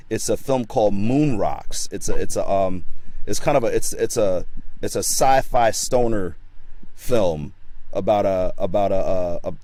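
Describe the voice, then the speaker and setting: A man's voice: lowish voice, warm tone, no echo. Stammering man, encouraging guest. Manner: stammering, repeats words; encouraging, motivational. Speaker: guest actor. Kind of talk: casual interview chat.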